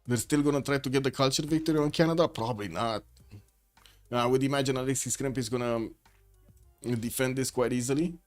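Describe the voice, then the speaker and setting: Man, live game caster, rambling, chatty with viewers, average pitch, soft music behind. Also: a little fast. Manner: rambling, greets chat viewers as sir. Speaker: stream host and caster. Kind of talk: live strategy game commentary.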